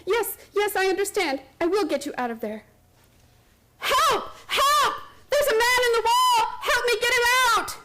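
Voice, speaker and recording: A woman's voice: very high-pitched, expressive, measured pace, pushed and bright, full character voice. An actress playing an obsessed, frantic woman hospital patient who tells her strange story in a radio play, intense and theatrical. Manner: intense, haunted, urgent, theatrical. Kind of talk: radio play acting, first-person dramatic narration.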